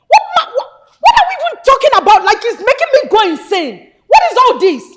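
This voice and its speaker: A woman with a Nigerian accent playing an angry young woman who scolds her family with sharp, fiery words. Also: very high voice, huge pitch swings, fairly quick, heavily acted. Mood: scolding. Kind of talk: film drama acting.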